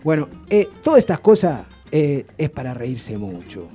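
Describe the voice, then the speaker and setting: Dark-voiced chatty man. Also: a little high, big pitch swings, unhurried. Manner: rambling, chatty storyteller with many restarts. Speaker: radio guest presenting authors. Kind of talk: casual radio chat. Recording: radio studio with faint background music.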